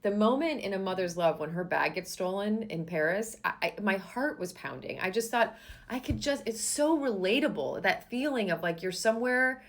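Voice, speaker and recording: A woman's voice: medium pitch, expressive, moderate pace. A woman hosting a podcast, talking to her guest in an enthusiastic, gushing way with lots of "you know" and "like". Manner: enthusiastic, gushing, many fillers. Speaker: podcast host. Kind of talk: casual interview chat.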